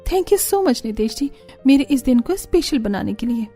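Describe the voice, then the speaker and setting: A harried young daughter-in-law from a cartoon family drama. Her voice is very high and soft-edged, with a theatrical, put-upon, sharp-tongued manner, over clear background music. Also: expressive, moderate pace. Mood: pleased, grateful. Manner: complaining, theatrical, sarcastic.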